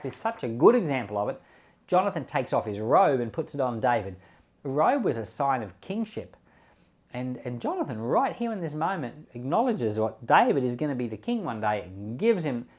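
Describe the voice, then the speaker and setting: Male pastor, mid-pitched voice, informal Bible teaching, earnest, emphatic, repeats key words. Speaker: pastor hosting a Bible podcast.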